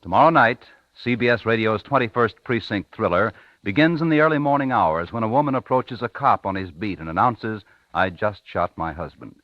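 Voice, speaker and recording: Male announcer, lowish and measured, polished 1950s radio promo read, dry but dull vintage sound. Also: animated, no room sound.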